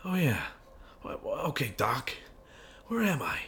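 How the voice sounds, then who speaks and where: A man's voice: huge pitch swings, unhurried, a bit bright, heavily acted. A man playing a confused, suspicious amnesiac hero in an improvised film noir audio play, hard-boiled and prone to stammering. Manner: agitated stammering in dialogue, low brooding asides. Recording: clearly noisy background.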